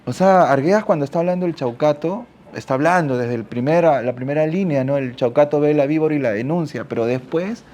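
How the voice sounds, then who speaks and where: Reflective Peruvian man with a raised pitch. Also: fairly quick, few pauses, darkish tone. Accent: Peruvian Spanish. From Ica, Peru. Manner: thinks aloud, adds frequent questioning tags. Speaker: panelist at a literary talk. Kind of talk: reflective panel talk on literature.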